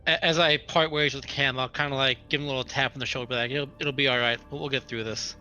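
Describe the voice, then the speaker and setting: A man's voice: medium pitch, steady tone, quick pace, pushed and bright, clear, resonant tone, lo-fi sound, faint music behind. A man playing a tabletop roleplaying game, casually describing what his character does.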